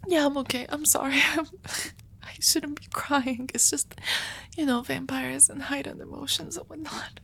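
A woman voicing an anxious, devoted young female vampire who confesses her love in a soft, hesitant whisper, in an intimate audio roleplay. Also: sits high, steady tone, moderate pace, vocal fry, full character voice. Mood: tender, confessing.

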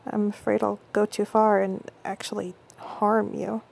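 A woman playing a husky, intense young girlfriend. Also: medium pitch, flat delivery, full character voice. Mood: tender, conflicted. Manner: soft breathy whispering, halting stammers. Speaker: audio roleplay performer. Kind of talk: intimate audio roleplay.

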